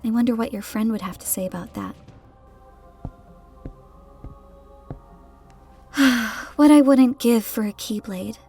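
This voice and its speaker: Woman playing defiant young girl, higher pitched, casual, blunt, sardonic, game parody, soft music underneath. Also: moderate pace, lightly acted. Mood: wry, wistful. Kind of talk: scripted animated game parody dialogue.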